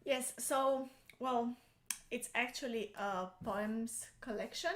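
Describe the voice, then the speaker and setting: A woman's voice: on the high side, slow pace. Young adult woman, Romanian native speaking English, casual co-host, hesitant, echoey room. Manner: hesitant, many fillers and restarts. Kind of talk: casual chat.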